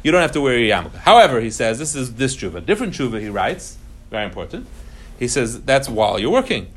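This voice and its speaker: A man's voice: mid-range voice, very animated, fast, fairly bright tone. A man teaching an informal class on religious law, explaining emphatically, repeating key phrases and mixing Hebrew terms into his English. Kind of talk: informal lecture to students.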